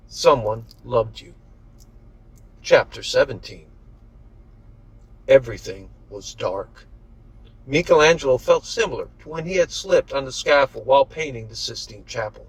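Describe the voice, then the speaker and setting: A man's voice: mid-range voice, slightly rough, dry recording, poor audio. Dry-sounding male author narrating. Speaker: author reading own novel. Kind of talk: scripted audiobook narration.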